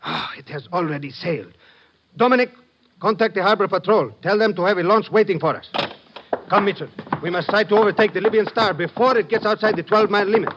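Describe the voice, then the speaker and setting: An actor playing a formal, businesslike Greek police lieutenant who gives precise orders in a 1950s radio drama. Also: male voice, high-pitched, animated, fast. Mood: urgent. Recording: muffled, noisy old radio recording.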